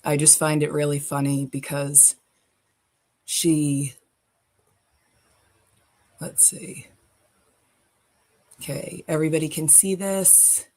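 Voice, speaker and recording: A woman's voice: deep voice, fairly even intonation, takes it slowly, pauses often, darkish tone. A woman hosting a live stream, chatting loosely and swearing casually as she sets up her screen. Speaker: livestream host.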